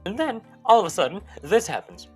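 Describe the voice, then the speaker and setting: A man's voice: high-pitched, big pitch swings, fairly quick, faint music behind. A man hosting a video essay, giving an informal, sweary recap that mixes scripted narration with casual commentary.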